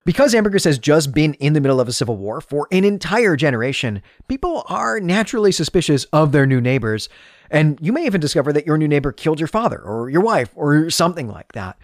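A man's voice: fairly high pitch, dry recording, clean studio sound. A man hosting a podcast, delivering an analytical, explanatory monologue in the style of literary commentary. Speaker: podcast host.

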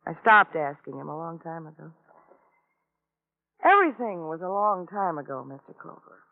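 An actress playing a weary, emotionally numb widow in an old-time radio drama, detached and halting in her phrasing. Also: low-pitched, expressive, creaky. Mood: numb, bitter. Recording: tinny, narrow-band vintage radio recording.

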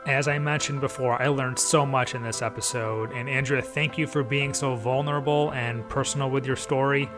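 A man's voice: mid-range voice, fairly even intonation, moderate pace, few pauses, over music. A man hosting a podcast, casual and friendly, with many fillers and restarts. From United States. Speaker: podcast host. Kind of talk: casual interview chat.

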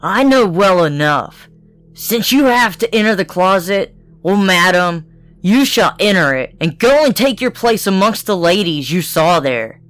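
A woman's voice: mid-range voice, animated, a little slow, dry recording, faint music behind, natural voice. Woman doing a cruel stern Bluebeard. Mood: menacing. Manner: menacing, commanding.

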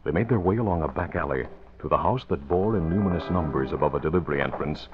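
Deep-voiced man narrating old radio drama. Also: animated, fast, over music. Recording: muffled old radio recording.

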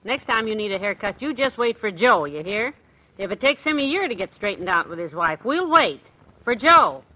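An actress playing a wry, bossy but fond wife in a 1950s radio comedy. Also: female voice, medium pitch, big pitch swings, moderate pace, bright, forceful tone. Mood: chiding, wry. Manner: wry, chiding. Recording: noisy old radio recording.

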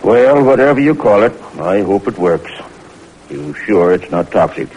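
An actor playing a plain-spoken, wary hospital doctor in a 1940s radio drama. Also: male voice, on the low side, big pitch swings, measured pace, a little mellow, husky, exaggerated voice, low-quality recording. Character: gruff, skeptical but willing hospital doctor. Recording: old radio recording with faint noise.

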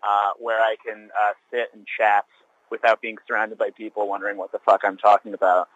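A man's voice: lowish voice, flat delivery, brisk, a bit bright, dry recording. Wry rambling guy in his thirties on the phone, kinda muffled and dry. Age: 30s. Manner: rambling, wry, many fillers and restarts. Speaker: teacher. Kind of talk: casual phone chat. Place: sheltered spot outdoors.

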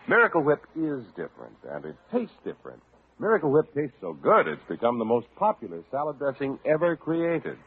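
A man's voice: mid-range voice, slightly rough. An American man announcing a mid-century radio show and reading its scripted advertisement. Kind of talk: 1940s-50s radio announcing, scripted ad read. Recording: vintage radio, narrow-band, noisy.

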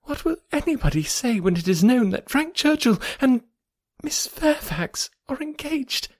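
A reader voicing a nervous middle-aged woman with a trembling, hushed voice in an audiobook. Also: sits high, sing-song, unhurried, pitched above the performer's own voice, heavily acted. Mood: anxious. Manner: hesitant, hushed, half-whispered. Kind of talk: audiobook character voice.

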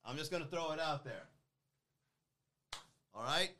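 A man's voice: fairly high pitch, animated, a little slow, pushed and bright, no room sound. A man in his thirties, a comedian chatting casually to his online viewers in a rambling, self-deprecating way. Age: 30s. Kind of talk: casual monologue to an online audience.

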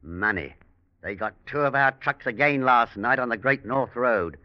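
An actor playing a gloomy crooked business partner in an old-time radio drama, with a clipped, downbeat delivery. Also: male voice, medium pitch, lively intonation, moderate pace, no room sound, natural voice. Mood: glum, urgent. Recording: muffled, dry vintage radio recording.

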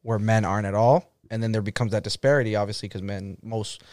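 A man's voice: lowish voice, fairly even intonation, fast talker, no echo, clean studio sound. Laid-back young man, rambling chat. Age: 20s. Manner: rambling, heavy use of "like", laughs loudly. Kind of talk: casual chat.

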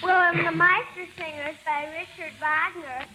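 A young girl of about five giving halting, stammering answers as a quiz contestant. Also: very high voice, steady tone. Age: child, about five. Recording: hissy old radio broadcast.

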